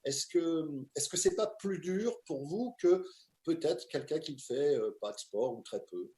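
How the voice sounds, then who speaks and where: A man hosting a casual interview from his home, an emphatic and lively presenter. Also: on the high side, unhurried, echoey room. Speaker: host. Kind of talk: casual video-call interview.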